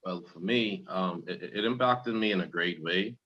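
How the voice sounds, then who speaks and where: Bright-voiced man telling lively stories. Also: sits low, normal speed, lo-fi sound. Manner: animated storyteller, laughs, repeats words. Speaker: panelist. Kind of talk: panel discussion.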